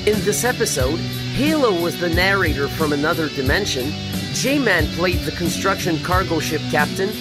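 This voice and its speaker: A woman's voice: on the low side, animated, normal speed. A woman reading scripted dramatic narration for a sci-fi radio serial. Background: loud music with guitar.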